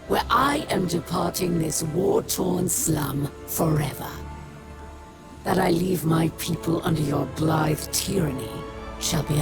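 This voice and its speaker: A woman's voice: deep voice, vocal fry. A woman voicing a proud, weary, bitter alien exile leader in a video game, speaking solemnly and formally. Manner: solemn, deliberate, formal speech. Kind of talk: video game character monologue. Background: music.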